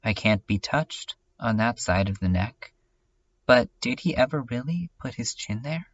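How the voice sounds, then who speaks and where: A man playing a brooding, haunted adult narrator in an introspective dramatic monologue, reflective and halting. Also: medium pitch, expressive, slight background noise, natural voice. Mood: brooding, uneasy. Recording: dull lo-fi recording, distant mic. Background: music.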